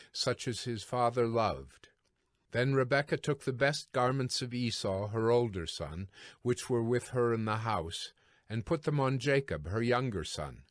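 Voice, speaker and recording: A man's voice: mid-range voice, no echo, studio quality. A man narrating dramatized scripture as a scripted storytelling reading.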